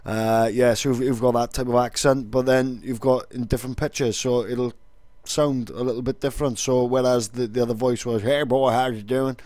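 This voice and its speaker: A man's voice: mid-range voice, steady tone, normal speed, a little mellow, dry recording. A man with a Welsh accent, explaining things casually as an online video creator.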